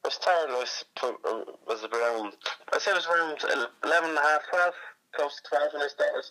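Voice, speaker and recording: A man's voice: a little high, a little fast, pushed and bright, poor audio. A man with an Irish accent giving casual interview answers, repeating himself and often checking in with "you know". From born in Iraq, moved to Ireland as a child. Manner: repetitive, conversational, peppered with "you know". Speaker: interview guest, hurler.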